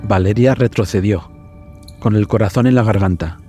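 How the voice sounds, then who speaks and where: Casting: male storyteller, dark low voice, suspenseful scripted horror narration over music. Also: moderate pace.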